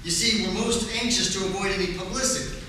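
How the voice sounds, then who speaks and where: A man's voice: high-pitched, steady tone, fast, bright, forceful tone, a little hiss or hum. A man playing a nervous, fawning store employee in a radio play, stammering obsequiously. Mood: anxious, eager. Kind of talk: 1940s radio play acting on stage. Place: stage of a community theatre. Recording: echoey theatre from far off.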